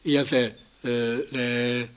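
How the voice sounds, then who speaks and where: A male conference speaker with a Dutch accent, speaking as a non-native. His pitch sits in the middle range and his delivery is slow and halting, as he searches for words while presenting. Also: little pitch movement, clear, resonant tone. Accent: Dutch-speaking, non-native French. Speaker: conference speaker from a healthcare organisation.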